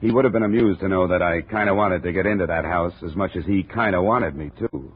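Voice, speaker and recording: Sardonic hard-boiled investigator, deep voice, dry narration, tinny old radio sound. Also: male voice, fast, husky. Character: wry, hard-boiled male insurance investigator. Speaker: narrator and lead. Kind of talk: 1950s radio drama acting. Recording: tinny old radio broadcast, faint hiss.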